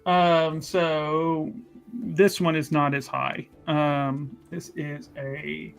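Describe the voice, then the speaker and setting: A man's voice: fairly high pitch, drawn-out words, gravelly, soft background music. A man playing a tabletop roleplaying game, chatting casually and jokily as he describes his character in the third person. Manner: animated, jokey, narrates character in third person.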